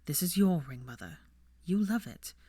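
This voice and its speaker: A woman voicing a calm, sardonic young aristocratic man in an audiobook story, quiet and matter-of-fact. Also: on the low side, normal speed, dry recording, studio quality. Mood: calm, firm. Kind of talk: audiobook character voice.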